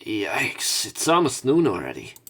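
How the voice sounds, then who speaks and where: A man's voice: mid-range voice, wildly swooping pitch, measured pace, fairly bright tone, breathy edge. A man doing a casual let's play of a visual novel, reading the story aloud with rambling, conversational asides.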